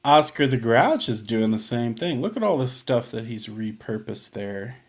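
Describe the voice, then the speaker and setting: A man hosting a library storytime, chatting casually and simply to young children in a gentle, encouraging way. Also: medium pitch, expressive, normal speed.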